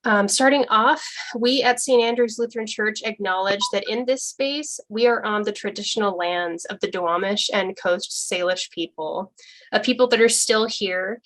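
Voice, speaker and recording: Young woman, church forum presenter, earnest, halting, many ums, mid-pitched voice. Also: fairly bright tone, rough recording. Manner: earnest, with frequent ums and restarts. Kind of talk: informal educational presentation.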